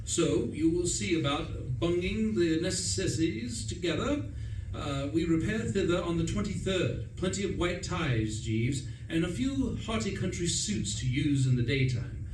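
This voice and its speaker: Man playing a high-pitched, breezy English gentleman. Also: lively intonation, measured pace. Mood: cheerful, blustering. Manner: pompous, chatty old-fashioned slang. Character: flustered, breezy upper-class young English gentleman, narrating. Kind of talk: theatrical radio play narration and dialogue. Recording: roomy, distant stage recording with faint noise.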